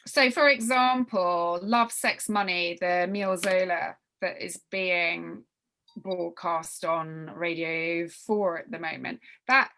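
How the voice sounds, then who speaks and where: A woman giving an informal briefing to a group as a workshop leader, halting and often repeating phrases for emphasis. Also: fairly low pitch, steady tone, bright, forceful tone. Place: online group video call.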